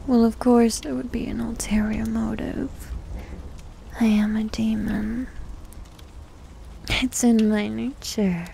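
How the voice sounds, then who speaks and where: A woman acts out a needy succubus demon servant, doting and slyly teasing. Her voice is soft and mellow, often dropping to a breathy whisper with crackles of fry. It is an intimate close-mic ASMR roleplay with faint ambient noise behind it. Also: on the high side, fairly even intonation, exaggerated voice. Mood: sly, seductive. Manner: coy, teasing, slow and drawn-out. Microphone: close ASMR microphone.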